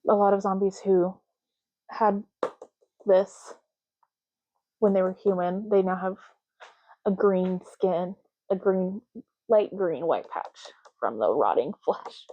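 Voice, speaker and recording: A soft-spoken woman doing an ASMR roleplay as a clerk, explaining gently and unhurriedly in short, broken phrases. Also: mid-range voice, slow pace, pauses often, muffled recording. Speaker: ASMR creator playing an ID card clerk.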